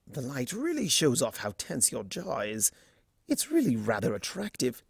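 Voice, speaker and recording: A smug, flamboyant male trickster creature from a storybook acted out by a narrator, swooping widely in pitch and recorded very dry. He teases theatrically, stretching his words for grand effect. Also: wildly swooping pitch, gravelly, husky, no echo, exaggerated voice. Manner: theatrical, teasing, drawn-out emphasis. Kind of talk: storybook character voicing.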